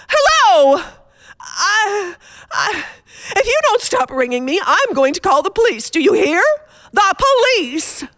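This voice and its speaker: A woman's voice: extremely high pitch, fairly bright tone, no echo, full character voice. A woman playing an imperious, self-pitying bedridden woman on the edge of hysteria in a radio thriller. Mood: hysterical. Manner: imperious, hysterical, haughty, breathless pleading. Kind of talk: old radio thriller acting.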